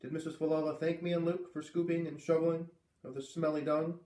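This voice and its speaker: A man reading a children's book aloud, expressively, with dramatic pauses on key words. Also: a little high, level tone, mellow. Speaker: read-aloud reader. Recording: echoey room, distant microphone.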